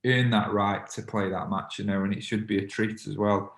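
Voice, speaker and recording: Calm man in an echoey room. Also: lowish voice, normal speed, slight background noise. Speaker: interviewee, football fan. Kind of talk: documentary interview.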